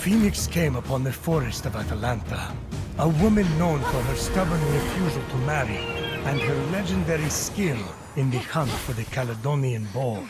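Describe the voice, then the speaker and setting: An actor playing a stately, wry storyteller narrating Greek myth in a video game. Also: male voice, medium pitch, animated, normal speed, lightly acted. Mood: grand. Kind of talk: scripted epic narration. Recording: loud music behind the voice. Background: loud music.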